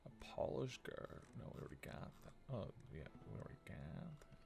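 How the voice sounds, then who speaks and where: A man's voice: very animated, normal speed, creaky. A man in his thirties streaming a game, muttering casually as he thinks aloud and reads out text. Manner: low-key muttering, reading card text aloud. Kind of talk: casual let's play commentary, thinking aloud.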